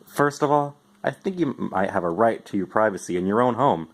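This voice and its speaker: A man with a fairly low voice giving an expressive amateur storybook reading in the first person. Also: fairly quick. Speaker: amateur reader.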